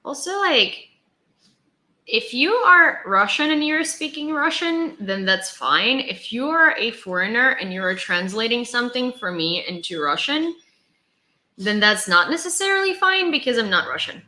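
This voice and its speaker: A young woman from Ukraine hosts a relaxed livestream, rambling through chat with lots of fillers. Her voice is bright and a little tense, and it rings in an echoey room. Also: fairly high pitch, normal speed. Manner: chatty, rambling, many fillers and restarts. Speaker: livestream host. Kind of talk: casual livestream chat, reading viewer comments.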